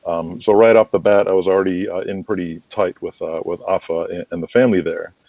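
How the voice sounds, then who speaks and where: A man's voice: low voice, fairly even intonation, fairly quick, mellow, no room sound, dull audio. A middle-aged American man telling rambling anecdotes casually as an interview guest. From United States. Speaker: interview guest, wrestling promoter and manager.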